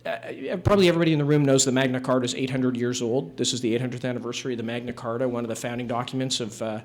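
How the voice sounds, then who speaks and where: A man in his fifties from Canada, a judge telling stories to a room of people in a relaxed, wry, conversational way with fillers. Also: medium pitch, racing. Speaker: judge giving a talk. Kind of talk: relaxed storytelling talk to a live audience. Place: room with an audience.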